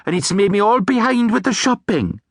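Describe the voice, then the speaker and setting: Harried banshee woman, high and chattery, read by a man. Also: big pitch swings, fairly quick, no room sound, studio quality, full character voice.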